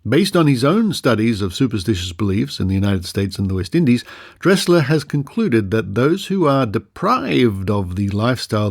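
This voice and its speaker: An Australian man, middle-aged or older, presenting a podcast and reading old newspaper articles aloud in a measured, storytelling way with asides. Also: fairly low pitch, gravelly.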